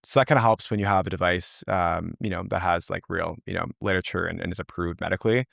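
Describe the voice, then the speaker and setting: A man's voice: deep voice, fairly even intonation, fast talker, gravelly, fairly bright tone, dry recording, studio quality. A young man, a company founder, talking casually as an interview guest, with many fillers and restarts. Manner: loose, filler-heavy, rambling.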